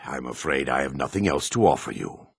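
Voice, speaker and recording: An actor playing a male dark elf townsman in a fantasy video game, with a raspy voice, gruff and theatrical. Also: a bass voice, expressive, a little fast, no echo, clean studio sound, heavily acted. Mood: formal, businesslike. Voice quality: raspy, husky.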